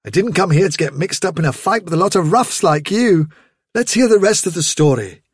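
A good-humoured rabbit chief from a storybook voiced by a man. He talks briskly and jovially, fairly high for a male, with lively rises and falls. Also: slightly rough, no room sound, clean studio sound. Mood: mock gruff.

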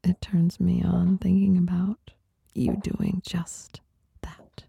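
A woman whispers breathily, right up on the mic, in a dark, mellow tone with heavy crackling fry. She speaks very slowly, seductive and teasing, with soft authority in an intimate ASMR style. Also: fairly low pitch, little pitch movement. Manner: seductive, teasing, softly dominant. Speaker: performer of adult audio recordings. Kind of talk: intimate adult ASMR monologue. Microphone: close microphone.

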